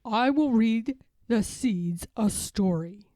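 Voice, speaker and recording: A woman voicing an earnest, impatient, anxious male toad in a storybook, with emphatic, drawn-out delivery. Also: fairly high pitch, expressive, dry recording. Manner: emphatic, loud, drawn-out exclamations.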